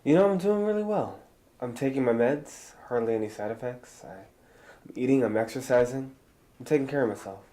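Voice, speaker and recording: A man's voice: medium pitch, lively intonation, a little fast, warm tone, natural voice. An actor playing an earnest, insistent young man in a film drama, recorded at a distance with background noise. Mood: upbeat. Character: anxious, pleading young HIV-positive man, earnest.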